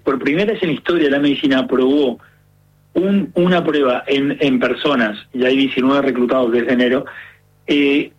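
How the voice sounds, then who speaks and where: Argentine man, gerontologist guest, earnest explainer, slightly husky, muffled radio sound. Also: mid-range voice, normal speed. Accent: Argentine Spanish. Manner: earnest, explaining step by step with many fillers.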